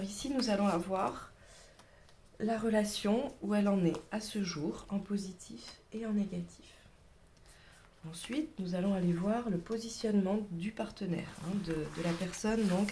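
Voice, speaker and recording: A woman's voice: mid-range voice, moderate pace, warm tone, slight background noise. A woman with a cold giving a casual tarot reading, chatty and hesitant, sometimes dropping to a whisper. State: has a cold. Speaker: tarot reader.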